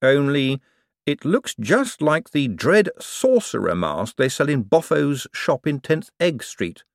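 A man reading an audiobook, voicing a wry, sharp-witted young man with a dry, sardonic manner. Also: fairly high pitch, big pitch swings, slow pace, gravelly, no echo, pitched above the performer's own voice, heavily acted. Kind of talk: audiobook character voice.

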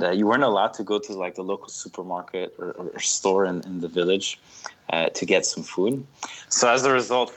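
A man in his thirties from Switzerland speaks as an interview guest, his voice sitting a bit low. He tells his stories in a relaxed way, with fillers and laughter along the way. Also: rough recording. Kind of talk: casual interview.

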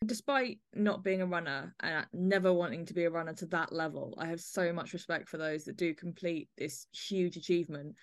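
A woman's voice: on the low side, normal speed, hardly any pauses. Woman hosting an arts podcast. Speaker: podcast host. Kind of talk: conversational review of an audio play.

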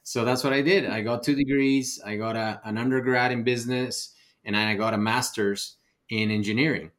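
A man's voice: mid-range voice, fairly even intonation, normal speed, a bit bright. A middle-aged man from Ecuador, a native Spanish speaker, telling his personal story as a podcast guest. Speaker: podcast guest, real estate investor.

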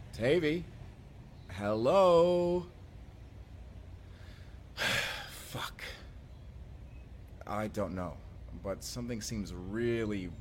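A man playing an excitable, friendly companion in a science fiction audio roleplay, speaking casually and expressively. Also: medium pitch, a little hiss or hum, heavily acted. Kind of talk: immersive first-person audio roleplay acting.

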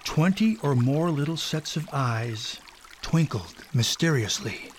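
A man's voice: fairly high pitch, expressive, takes it slowly, slight background noise. A man narrating a scripted children's story.

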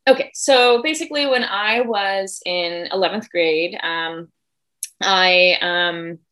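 A woman's voice: medium pitch, slow pace, a bit bright. A woman from Germany, a teacher telling a story as a podcast guest in a chatty way, with lots of fillers and self-corrections. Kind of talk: casual storytelling.

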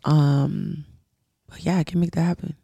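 Low-voiced woman, chatty and effusive. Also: fairly even intonation, unhurried, crowd noise. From Southern California. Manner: warm, effusive, rambling with many fillers. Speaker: podcast guest, musician. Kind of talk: casual podcast chat. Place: podcast studio.

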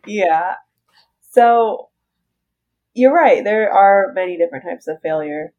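Woman co-host, allergy-stuffy, wry and relaxed, slightly dull recording. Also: mid-range voice, slow pace. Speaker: podcast co-host. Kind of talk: casual chat.

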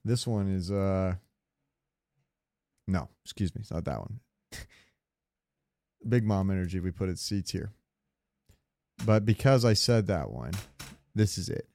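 A man's voice: deep voice, animated, measured pace, long pauses, darkish tone, no room sound, studio quality. A man co-hosting a podcast in casual comedic chat, rambling through jokey, sweary stories. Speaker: podcast co-host.

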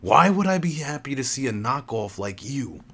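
Man as a snappish, high-pitched young woman. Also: very animated, moderate pace, gravelly, a bit bright, exaggerated voice. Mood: contemptuous. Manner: snappish, indignant. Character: Zipporah, hot-tempered woman, snarling and easily flustered.

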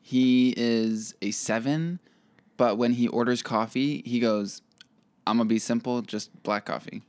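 A man's voice: mid-range voice, steady tone, unhurried, darkish tone, clean tone, no echo. A young man co-hosting a casual podcast chat, teasing in dry banter. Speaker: podcast co-host.

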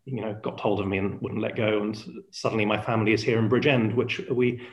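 A middle-aged man from Spain, Spanish his first language, a Greek lecturer talking as a guest in a relaxed interview with many fillers. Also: on the low side, quick pace, muffled recording. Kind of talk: casual interview talk.

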